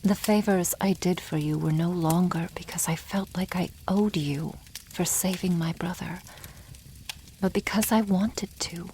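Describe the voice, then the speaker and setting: A woman voicing a protective, tender female dragon in a soft, intimate ASMR roleplay, with formal wording and a hushed, often half-whispered delivery. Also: lowish voice, fairly even intonation, measured pace, a little hiss or hum, rough recording.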